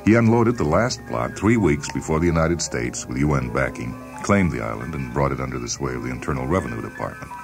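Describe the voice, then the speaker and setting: A man narrating a short story in a measured, deliberate, dry deadpan way, as a scripted dramatic reading. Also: extremely low pitch. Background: background music.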